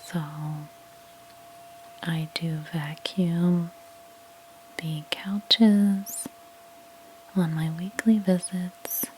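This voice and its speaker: Woman, soft-spoken ASMR roleplay narrator: breathy near-whisper, lowish pitch, very unhurried, gentle step-by-step explaining. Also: fairly even intonation, a little hiss or hum, low-quality recording. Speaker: ASMR creator playing a house cleaner.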